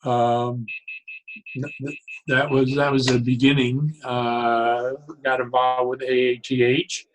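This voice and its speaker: An older man talking reflectively as an interview guest, deliberate and halting, often stopping and restarting his phrases. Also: medium pitch, level tone, poor audio. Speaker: interview guest, psychologist and humor educator.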